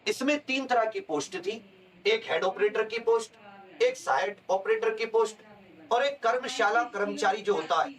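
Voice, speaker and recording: A man's voice: high-pitched, normal speed, a bit bright, far from the mic, low-quality recording. A man giving an explanatory lecture as a coaching teacher, repeating key points for emphasis. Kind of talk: explanatory lecture to exam aspirants.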